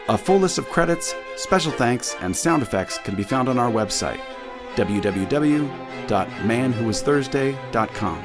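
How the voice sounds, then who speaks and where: Announcer: male, medium pitch, reads scripted show credits over clearly audible fiddle music. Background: violin music.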